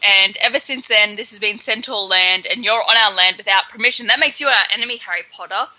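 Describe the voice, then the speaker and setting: A woman's voice: mid-range voice, fast talker, sharp tone, low-quality recording. A woman voicing a proud, scornful male centaur with curt, formal pronouncements, read aloud from a play script. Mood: hostile.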